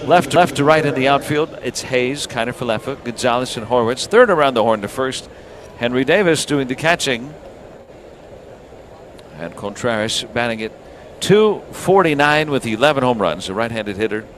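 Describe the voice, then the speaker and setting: A man calling a baseball game live on the radio as play-by-play announcer, in measured clipped phrases with pauses. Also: mid-range voice. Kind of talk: live radio sports commentary. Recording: noisy outdoor ballpark radio broadcast. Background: steady ballpark ambience.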